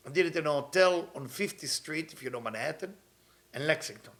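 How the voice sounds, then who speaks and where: A man, his voice sitting a little higher than most men's. He teaches with earnest conviction, circling back over his phrases in loose grammar. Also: lively intonation, fairly quick, fairly bright tone. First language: Hebrew. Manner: earnest, repeats phrases, non-native grammar. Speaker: kabbalah teacher. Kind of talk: weekly spiritual talk.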